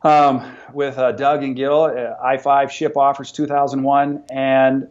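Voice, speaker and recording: A man's voice: medium pitch, measured pace. Motivational businessman, lo-fi sound. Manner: energetic, motivational, repeats words when starting sentences. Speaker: business owner and podcast guest. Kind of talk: conversational interview.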